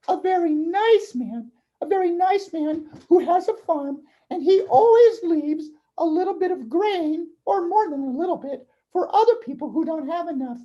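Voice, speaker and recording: A woman's voice: extremely high pitch, lively intonation, measured pace, dark tone, muffled recording. A woman voicing a fretful, kindly older mother in a puppet show, hesitant and sighing as she speaks. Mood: weary, kindly. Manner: hesitant, sighing, repeating words. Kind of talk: children's puppet show dialogue.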